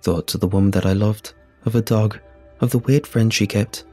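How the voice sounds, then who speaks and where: A man narrating a scripted first-person horror story in a measured, solemn, husky voice. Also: lowish voice, fairly even intonation, warm tone. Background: music.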